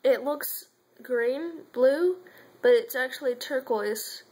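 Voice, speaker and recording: Brief: young daughter, higher pitch, dry self-mocking humor, phone at home. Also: female voice, takes it slowly, darkish tone. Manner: wry, self-mocking, joking. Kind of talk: casual family chat. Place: home room. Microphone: phone camera.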